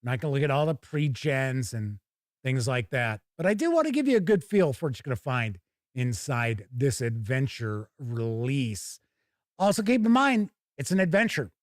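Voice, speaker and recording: A man's voice: mid-range voice, very animated, moderate pace, no echo, clean studio sound. A middle-aged man hosting a tabletop gaming livestream, chatting casually in a rambling, informal way. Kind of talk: casual livestream chat and product first look.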